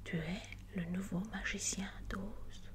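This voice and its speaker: A woman playing a tender maternal figure in an ASMR roleplay, speaking in a hushed, breathy whisper. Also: medium pitch, fairly even intonation, background noise, natural voice. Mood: tender, soothing. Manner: slow, soothing, hushed. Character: tender maternal woman watching over a sleeping child.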